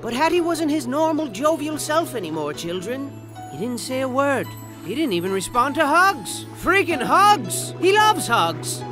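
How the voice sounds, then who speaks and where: High, zany, melodramatic cartoon narrator. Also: male voice, sing-song, a little slow, full character voice. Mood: mournful. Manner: theatrical, swerving from grandiose storytelling to slangy asides. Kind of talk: comic scripted game narration. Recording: music under the voice.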